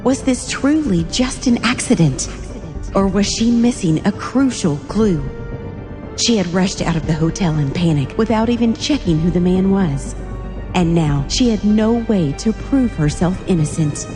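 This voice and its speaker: A woman's voice: medium pitch, animated, measured pace, over music. A woman reading scripted story narration with suspenseful, dramatic phrasing.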